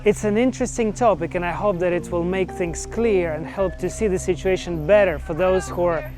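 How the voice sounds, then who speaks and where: A middle-aged man hosting a video and asking interview questions in a measured way, on a lapel mic. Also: high voice, music in the background. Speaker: host, interviewer. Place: outdoors by a park path.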